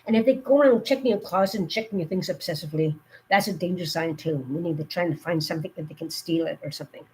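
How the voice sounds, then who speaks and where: Hoarse woman giving earnest warnings. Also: lowish voice, fast, darkish tone, slight background noise, low-quality recording. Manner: earnest, rambling with fillers. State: hoarse from a cold. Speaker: live streamer. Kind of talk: casual live-stream talk.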